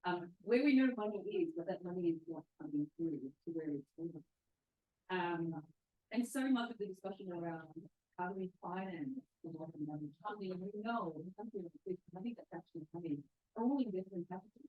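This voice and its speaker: A woman asking a question from the audience, halting and searching for words with many ums. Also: on the low side, animated, breathy edge, no room sound. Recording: dry, tinny phone-like sound.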